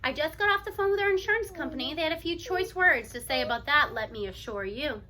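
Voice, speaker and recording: A woman's voice: high-pitched, animated, quick pace, sharp tone. A woman voicing an irritable, unforgiving male school superintendent in a storybook, indignant and emphatic.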